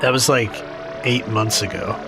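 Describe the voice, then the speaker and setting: An actor playing a sardonic, defensive younger brother in a naturalistic audio drama, speaking in dry, halting, casual slang. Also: male voice, on the low side, natural voice. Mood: subdued. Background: music.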